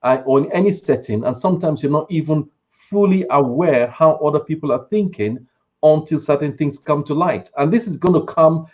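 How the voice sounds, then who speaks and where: A man recounting experience on a discussion panel in careful, measured, halting phrases. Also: fairly high pitch, a little mellow, lo-fi sound. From Nigeria. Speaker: church leader on a panel.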